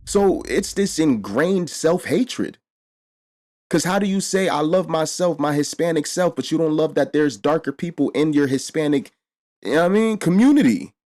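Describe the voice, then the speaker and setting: A man's voice: a little high, animated, unhurried, a little mellow. A man hosting a casual discussion, blunt and emphatic, with frequent swearing. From Mexico. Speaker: host.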